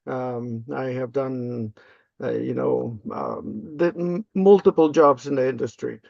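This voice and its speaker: An older man from Peru, a native Spanish speaker, answering interview questions casually and haltingly with frequent ums and uhs. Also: mid-range voice, vocal fry, darkish tone, no echo. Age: 50s or older. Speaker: interview guest, language access specialist. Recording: slightly dull, lo-fi close audio.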